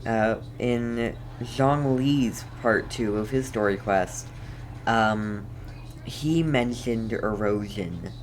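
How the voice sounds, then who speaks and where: Slow-talking woman doing game commentary. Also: rumbling low, poor audio. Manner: hesitant, thinking aloud. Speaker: game streamer. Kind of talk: casual let's play commentary. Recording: faint background noise.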